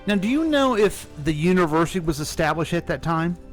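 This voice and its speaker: Middle-aged male co-host, casual and chatty, lively swings in pitch, faint music bed. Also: brisk. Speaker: radio co-host asking questions. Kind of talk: casual chat, interjecting and joking. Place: radio show recording.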